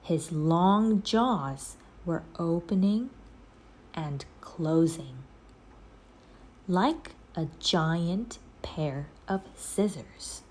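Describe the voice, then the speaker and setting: Woman, children's book narration, lowish pitch, very slow pace, deliberate, dramatic phrasing, expressive. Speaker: storybook reader, English teacher.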